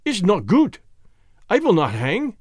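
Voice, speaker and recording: A man voicing a cunning, excitable condemned man who taunts in broken English with a thick Germanic accent, as in an audiobook story. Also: high voice, a little slow, breathy edge, no room sound, clean studio sound, heavily acted. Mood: desperate, furious.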